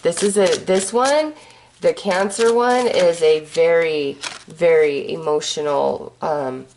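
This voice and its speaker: A woman with a hoarse voice from a cold, giving a casual, upbeat astrology forecast while pulling tarot cards. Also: low-pitched, slow, a little mellow, slight background noise, low-quality recording. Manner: breezy and upbeat, with sighs and fillers. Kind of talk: casual spiritual forecast with card pulls.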